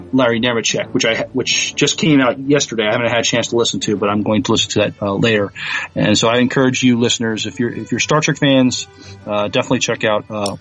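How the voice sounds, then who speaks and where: A man co-hosting a sci-fi podcast, talking earnestly with many pauses and fillers. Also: mid-range voice, a bit bright, highs cut off. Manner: hesitant, lots of ums, earnest. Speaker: podcast co-host. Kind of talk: casual podcast chat.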